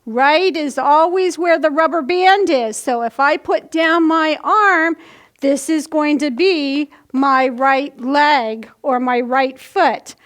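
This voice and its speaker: A woman with a high voice speaks slowly, as a children's storytime host would. She explains simple movements step by step, demonstrating as she goes. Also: a bit bright.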